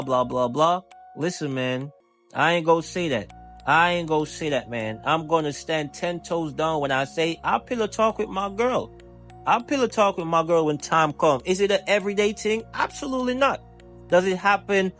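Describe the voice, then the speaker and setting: A man's voice: a little high, fairly bright tone. A man giving casual, emphatic commentary, repeating his points and addressing the listener directly as a channel host. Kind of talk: casual reaction commentary to camera or mic. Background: music.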